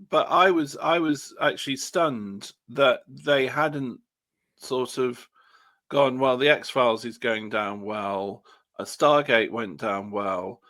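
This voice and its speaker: A man's voice: mid-range voice, a bit bright. A man chatting as a podcast panelist, hesitant and searching for his words. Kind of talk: casual discussion.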